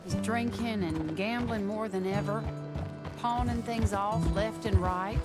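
An actress playing a genteel, earnest young American lady in a western video game, proper and gently pleading. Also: medium pitch, a little slow, loud music behind the voice, close to an everyday voice. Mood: worried. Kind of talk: video game cutscene dialogue.

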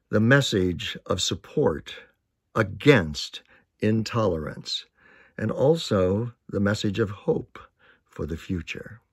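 A man's voice: lowish voice, husky, no echo. Older man, dry close sound. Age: older, 60s or 70s. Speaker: narrator and author. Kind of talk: prepared spoken statement to camera. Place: indoors, small attic-like room with wooden sloped ceiling.

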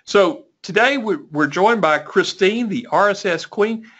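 A man with a higher voice hosts a webinar, upbeat and prone to restarts. The sound is dull, lo-fi call audio. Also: expressive, a little slow, fairly bright tone. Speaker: host. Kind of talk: webinar presentation. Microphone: video call.

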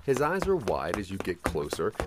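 A man's voice: low voice, wildly swooping pitch, normal speed, very noisy. A man narrating a scripted second-person audio drama in a theatrical, ominous storytelling manner.

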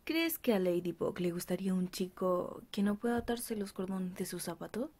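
A woman voicing a gentle teenage boy, sighing, doting and mildly exasperated. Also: medium pitch, normal speed, pitched below the performer's own voice, natural voice. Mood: weary.

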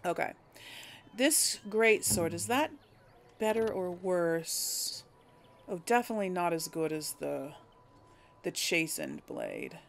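A woman's voice: fairly low pitch, big pitch swings, slow, creaky, pauses often. A woman giving casual game commentary, thinking aloud in a hesitant, musing way as she hosts. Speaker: gaming video host.

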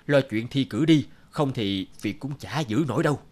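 A man's voice: mid-range voice, expressive, normal speed, a little mellow, natural voice. A man voicing a sensible, nagging young office colleague in a cartoon, earnest and chiding. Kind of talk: cartoon voice acting.

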